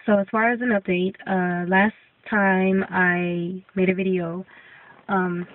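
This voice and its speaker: Congested young woman, tinny and distant. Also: mid-range voice. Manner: rambling, many ums and restarts. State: congested, getting sick. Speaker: vlogger. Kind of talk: casual video update. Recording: tinny phone-like sound from off-mic.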